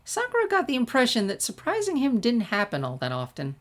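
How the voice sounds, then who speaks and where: A woman's voice: fairly high pitch, huge pitch swings, fairly quick. A woman reading a scripted story aloud as an audiobook-style narrator. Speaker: audiobook-style reader of fan fiction.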